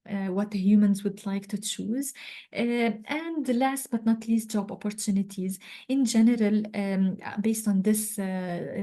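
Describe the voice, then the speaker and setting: A woman from Lebanon, a chemistry professor, giving a webinar talk over a video call, pausing often with ums and uhs. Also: mid-range voice, warm tone. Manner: hesitant, many ums and uhs. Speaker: guest speaker, chemistry professor.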